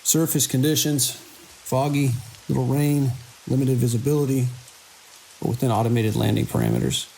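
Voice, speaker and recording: An actor playing a weary, watchful security chief in an audio drama, speaking in a dry, measured way. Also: male voice, mid-range voice, animated, gravelly, a little mellow, low-quality recording. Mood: reflective. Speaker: security lead. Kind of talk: audio drama, logs and radio dialogue. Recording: hiss and rain-like noise.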